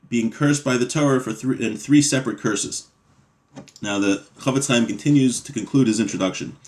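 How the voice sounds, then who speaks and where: A man teaching a class on a religious text, reading passages aloud and then explaining them plainly, with some ums and restarts. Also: mid-range voice.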